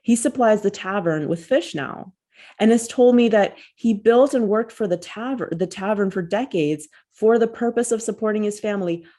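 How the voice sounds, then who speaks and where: A woman author reading her own personal essay aloud, at a measured and reflective pace, over a video call. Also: medium pitch, mellow. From United States.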